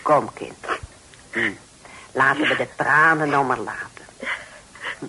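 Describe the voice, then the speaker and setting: A woman playing a kindly, brisk, pious middle-aged aunt in a radio play. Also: a bass voice, sharp tone, husky, heavily acted. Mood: brisk, motherly. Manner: kindly, brisk, full of hums. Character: pious, motherly, industrious aunt of old times. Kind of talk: Dutch radio play acting. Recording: noisy old radio drama recording.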